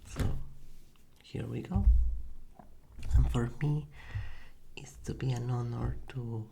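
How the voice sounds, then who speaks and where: A man whose first language is Spanish gives a soft-spoken, whispered ASMR lesson into a condenser mic, hesitating often with fillers. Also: mid-range voice, fairly even intonation, takes it slowly, vocal fry, noisy background. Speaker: ASMR creator teaching Spanish. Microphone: Blue Yeti condenser mic.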